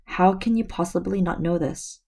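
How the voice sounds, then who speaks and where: Brief: a woman lightly voicing a talkative frog gent, a little hoarse. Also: fairly low pitch, moderate pace, darkish tone. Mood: amazed. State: slightly hoarse. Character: chatty friendly male frog host.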